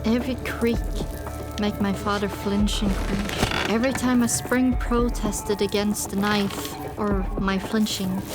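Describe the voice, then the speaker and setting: A woman narrating an audiobook in the first person, dramatic, grave, deliberate and intense. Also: mid-range voice, steady tone, loud music behind the voice.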